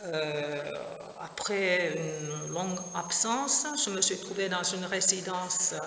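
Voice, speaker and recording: A middle-aged woman from Finland, speaking haltingly with many fillers as she talks about her art project. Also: fairly low pitch, fairly even intonation, a bit bright, slight background noise. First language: Finnish. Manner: hesitant, many fillers and restarts. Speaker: visual artist. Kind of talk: interview at her exhibition. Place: gallery space with sound installation playing. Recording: roomy echo, music in background.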